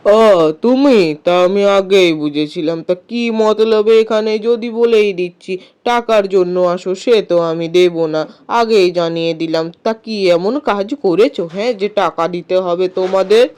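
A woman voicing a cunning, miserly male village landlord in a cartoon, talking in a smug, gruff, dismissive way. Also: mid-range voice, a little slow, a little mellow, close to an everyday voice.

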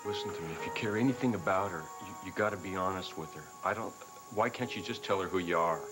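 An actor playing an easygoing young boyfriend on a 1990s daytime soap who talks calmly and reassuringly. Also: male voice, deep voice, fast, music in the background, lightly acted. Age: young adult. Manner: calm, reassuring, some restarts. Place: indoor studio set. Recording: noisy soap broadcast recording.

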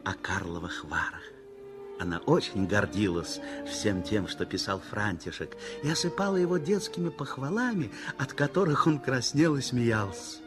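A man narrating a 1960s radio play in a measured storytelling manner. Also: on the low side, over music.

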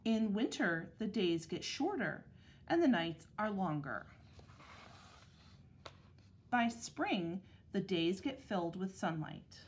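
A woman reads a children's picture book aloud as a schoolteacher would. Her pace is slow and her phrasing is deliberate, with a mid-range pitch. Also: big pitch swings, a little hiss or hum. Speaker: elementary school teacher reading aloud.

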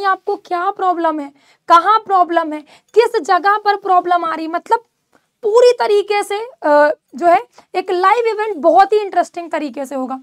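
A young woman teacher with a very high voice talks straight to her students, lively and full of gestures. She is close on a lapel mic in a dry studio with no room sound. She sounds like an online class announcement. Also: dry recording. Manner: animated, gesturing, direct address to students. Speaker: exam-prep teacher. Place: studio in front of a presentation screen.

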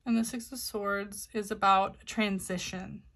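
A woman's voice: mid-range voice, steady tone, measured pace, fairly bright tone. A woman hosting her own channel, talking to camera in a chatty, casual way with many fillers and restarts as she sets up a tarot reading. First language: not Korean. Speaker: tarot reader, channel host.

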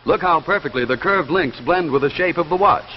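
A man's voice: a little high, expressive, brisk, big-room sound, slight background noise. A young man giving a polished, salesmanlike scripted announcement in the style of 1950s television advertising. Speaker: announcer and commercial pitchman. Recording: dull 1950s television soundtrack with music.

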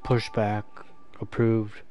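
A man speaking terse, deliberate voice commands as an air traffic controller in a game. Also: fairly low pitch, monotone, muffled recording.

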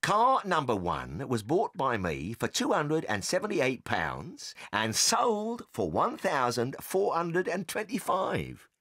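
A man's voice: a little high, wildly swooping pitch, slow pace, hardly any pauses, bright, forceful tone, full character voice. An actor playing a cocky, irritable, crooked car-dealer father with an English accent in an audiobook drama, blustering and yelping in colloquial speech. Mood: smug, bossy.

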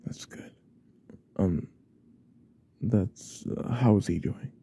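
A man playing a possessive, obsessive young classmate in an ASMR roleplay, speaking in a hesitant, breathy half-whisper. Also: fairly low pitch, slow, exaggerated voice. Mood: suspicious, probing. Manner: hesitant, hushed, probing.

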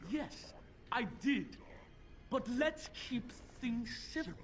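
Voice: mid-range voice, expressive, slow pace. Possessed young boy: imperious, taunting, bored and cruel, wildly varied intonation, fantasy video game. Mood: mock polite. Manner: taunting, haughty.

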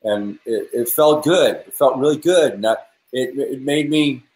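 A man's voice: mid-range voice, measured pace, darkish tone. A man, a musician guest telling his story earnestly over a webcam from a room at home. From New England. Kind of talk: casual video-call interview. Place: home room with guitars, indoors. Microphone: video call webcam. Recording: roomy webcam audio.

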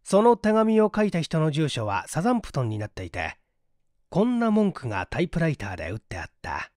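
A man's voice: fairly high pitch, huge pitch swings, fairly quick, a little mellow, no room sound, studio quality. A man narrating a literary mystery story as a dramatic audiobook reader.

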